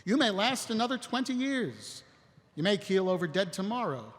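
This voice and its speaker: A man's voice: high-pitched, very animated, moderate pace, a bit bright. A man acting as an ailing young aide, dryly loyal and stoic, in a live audio drama. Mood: frankly concerned. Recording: echoey hall, live stage recording.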